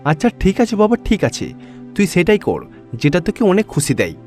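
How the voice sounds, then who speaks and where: Concerned, indulgent cartoon dad man acting, raised high pitch, emphatic questions, faint music. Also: huge pitch swings, fairly quick, a little mellow. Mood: questioning. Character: concerned, indulgent father of a devout boy. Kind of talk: cartoon story dialogue.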